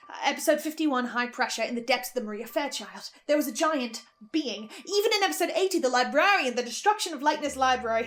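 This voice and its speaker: A woman hosting a scripted lore analysis, speaking in a dramatic, halting, questioning way with theatrical asides. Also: sits high, fairly bright tone. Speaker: host. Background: faint music.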